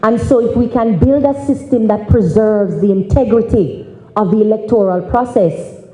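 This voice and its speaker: A young Jamaican woman giving a public speech, phrasing it deliberately and emphatically. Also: mid-range voice, warm tone. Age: young adult. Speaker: youth organisation president, speaker. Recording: roomy, echoing conference hall.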